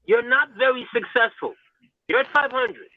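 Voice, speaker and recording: A man's voice: very high-pitched, normal speed, phone-quality sound, slight background noise. A man calling in to a sports debate, pressing his point with challenging questions. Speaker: caller.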